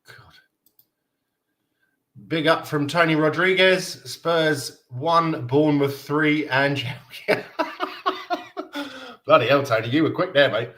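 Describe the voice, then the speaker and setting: A man's voice: a little high, fairly bright tone. A man from Denmark hosting a casual football fan livestream, chatting in a rambling, matey way. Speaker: livestream host.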